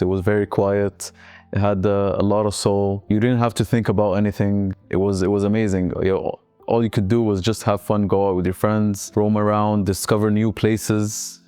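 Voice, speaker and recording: Deep, flat-voiced young man, non-native English. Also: moderate pace, clean tone, no room sound, faint music behind. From Algeria. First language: not English. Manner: relaxed, often hedges with "let's say". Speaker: interviewee. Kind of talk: reflective personal storytelling.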